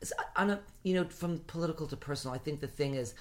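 A man's voice: a little high, quick pace, a little hiss or hum. A man from Rhode Island in casual conversation, making his points emphatically and often repeating phrases as he builds an argument.

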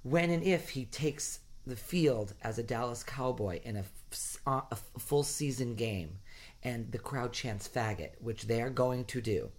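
A man's voice: medium pitch, animated, a little slow. A man from Rhode Island in casual conversation, making his points emphatically and often repeating phrases as he builds an argument.

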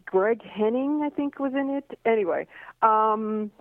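A woman's voice: a little high, unhurried, no echo. A woman from Queens, New York, whose first language is Slovak, chatting as a guest in an interview, talking freely with many fillers and restarts. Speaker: singer and Broadway performer, guest. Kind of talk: casual interview chat. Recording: tinny phone line.

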